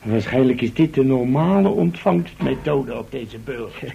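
An actor playing a placid, cheeky, hungry companion in a radio drama, drily unconcerned by danger. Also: male voice, mid-range voice, expressive, a little slow, gravelly. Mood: cheerful optimism. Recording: dull, faintly noisy old radio recording.

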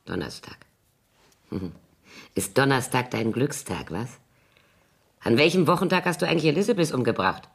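A woman's voice: a bass voice, lively intonation, moderate pace, creaky, pauses often, husky, exaggerated voice. An actress playing a sly, mocking older woman in a German radio crime drama, with a sardonic, theatrical drawl.

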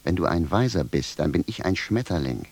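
A gruff, exasperated tradesman in a radio drama. His voice is low and gravelly and moves up and down a lot as he grumbles bluntly and stubbornly. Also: very animated, fairly quick, a little mellow, full character voice. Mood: indignant. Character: gruff, stubborn, exasperated naked shoemaker raised from the dead. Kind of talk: German radio play acting.

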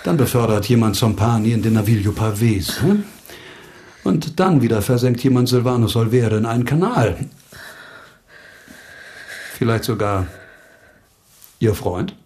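Investigator for crime radio play. Mid-range voice, measured and condescending, fully in command. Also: male voice, medium pitch, animated, darkish tone. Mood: stern. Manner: measured, authoritative, dryly condescending. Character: shrewd, controlled male investigator questioning suspects. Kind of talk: German radio crime drama acting.

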